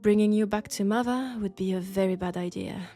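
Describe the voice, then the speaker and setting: A woman with a French accent playing an elegant, condescending vampire scholar in an intimate roleplay audio drama, speaking deliberately and seductively. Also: medium pitch, natural voice. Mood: coaxing, menacing. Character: elegant, sophisticated, coolly curious female vampire scholar. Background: faint dark ambient music.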